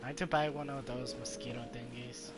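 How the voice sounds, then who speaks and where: A man with a medium-pitched voice talks casually as he plays a video game. It is loose streamer commentary, with loud game music running behind him. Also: fairly even intonation, a little fast.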